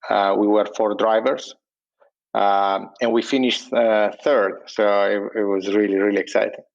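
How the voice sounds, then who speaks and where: Italian-accented man, gravelly low voice. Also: level tone, unhurried, muffled recording. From Italy. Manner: hesitant, many fillers and restarts. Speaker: guest entrepreneur. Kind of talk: casual interview over internet call.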